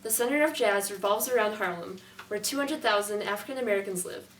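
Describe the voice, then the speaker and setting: A woman's voice: mid-range voice, fast talker, natural voice. A woman playing a 1920s news correspondent reading a scripted amateur report. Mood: matter-of-fact. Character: female 1920s newscast music correspondent. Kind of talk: scripted amateur mock newscast. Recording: roomy distant mic, faint noise.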